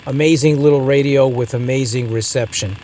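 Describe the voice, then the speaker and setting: A man's voice: mid-range voice, fairly even intonation, brisk, a little mellow, a little hiss or hum, poor audio. An American man hosting a casual product review, talking through it informally as he goes. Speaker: host of a radio review channel.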